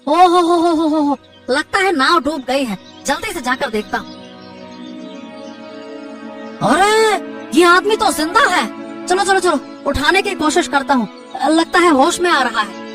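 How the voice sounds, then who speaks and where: High-pitched excitable young fisherman. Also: normal speed, far from the mic, over music. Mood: alarmed, helpful. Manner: exclaiming, repeats words urgently. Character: excitable young village fisherman, earnest and eager. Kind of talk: Hindi cartoon moral story acting.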